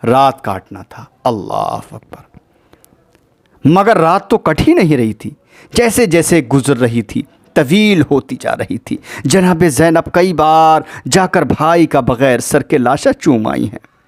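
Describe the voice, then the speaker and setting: A man tells a sacred tragedy in a grieving, emotive sermon style. His voice sits a little high and is captured very dry, with no room around it. He lingers in pauses and repeats phrases for weight. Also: no room sound. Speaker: religious orator narrating the Karbala tragedy. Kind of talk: mournful majlis sermon, dramatic storytelling with recited verse.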